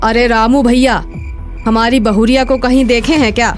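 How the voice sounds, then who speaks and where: A woman's voice: a little high, fairly even intonation, moderate pace, faint music behind, lightly acted. A woman playing a protective, trusting village mother-in-law, earnest and firm, in a dramatized moral story. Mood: worried, loyal.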